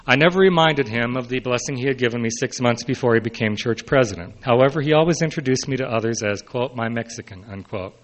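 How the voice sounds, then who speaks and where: Male, middle-aged or older, medium pitch, measured delivery of a written autobiographical talk with occasional off-script asides. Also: rough recording. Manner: measured, announces quote and unquote, brief unscripted asides. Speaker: historian giving a talk. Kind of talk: reading a prepared autobiographical speech aloud.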